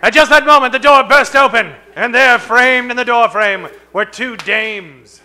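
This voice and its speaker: A man playing a hard-boiled pulp story narrator, deadpan and dramatic, in a comic radio drama. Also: very high voice, a little slow, exaggerated voice.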